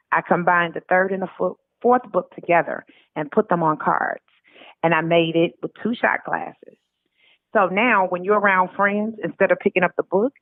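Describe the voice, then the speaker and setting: A woman's voice: on the low side, no echo. A woman author speaking informally as a guest, recorded in dull lo-fi audio with music behind her. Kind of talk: reading aloud and informal interview talk.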